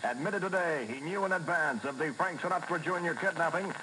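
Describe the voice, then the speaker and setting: A man's voice: on the high side, fairly quick, pushed and bright, slight background noise, poor audio. An American man reading a formal 1960s radio news bulletin, recorded off AM radio. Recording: 1960s AM radio aircheck over music.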